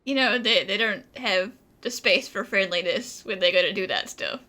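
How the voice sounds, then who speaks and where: A woman's voice: medium pitch, normal speed, sharp tone. A woman giving casual commentary as she plays through a video game. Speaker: gameplay streamer.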